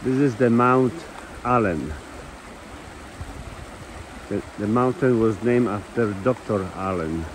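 A man with as a giving slow, enthusiastic commentary into a phone while filming outdoors at a ski hill and a mountain river. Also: lowish voice, slight background noise, low-quality recording. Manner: slow, enthusiastic, simple phrasing with pauses. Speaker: vlogger.

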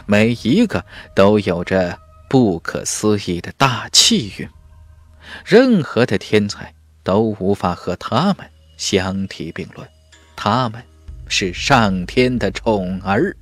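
A man's voice: lowish voice, sing-song, slow pace, no echo, soft background music. A man narrating a fantasy audiobook in a dramatic, scripted storytelling style.

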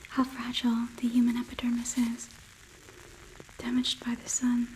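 A woman in her twenties playing a condescending, clinical female robot overlord in a soft whispered ASMR roleplay. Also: on the high side, completely flat delivery, normal speed, a little hiss or hum, natural voice, poor audio. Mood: clinical, explaining. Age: 20s. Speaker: ASMR performer. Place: small indoor studio set. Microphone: close microphone near camera.

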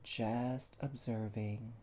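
A meditation guide speaking gently and soothingly, with long pauses and soft, breathy phrase endings. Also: medium pitch, fairly even intonation, a little hiss or hum.

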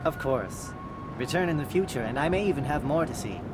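Man voicing a polite, higher-pitched alien merchant. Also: expressive, a little fast, loud music behind the voice, close to an everyday voice. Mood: courteous. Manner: formal, courteous. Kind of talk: video game dialogue.

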